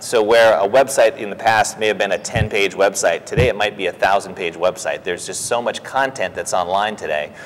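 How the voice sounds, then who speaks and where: A man, a company president, explaining a technical topic in a steady, businesslike interview answer. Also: on the low side. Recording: reverberant room.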